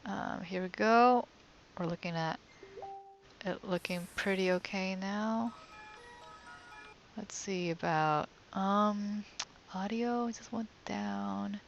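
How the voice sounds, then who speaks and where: A woman's voice: medium pitch, slow, creaky, long pauses, faint music behind. A young woman giving casual let's play commentary as a game streamer, often murmuring to herself.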